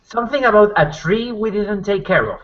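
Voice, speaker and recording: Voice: medium pitch, lively intonation, fairly quick, muffled recording. A tabletop roleplayer chatting casually in character. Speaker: player in a tabletop roleplaying game. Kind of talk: casual in-character game chat.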